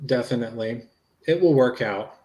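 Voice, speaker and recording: A man's voice: medium pitch, moderate pace, dark tone, lightly acted, rough recording. A man reading the part of a reassuring, plain-spoken investigator in a conversational dramatic reading of a police interview. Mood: calm, supportive.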